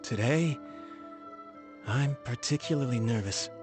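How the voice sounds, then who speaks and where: A man's voice: medium pitch, measured pace, music in the background, natural voice. A man playing a humble, loyal young servant in a video game, with a nervous, hesitant, deferential manner. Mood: worried. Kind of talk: video game character acting.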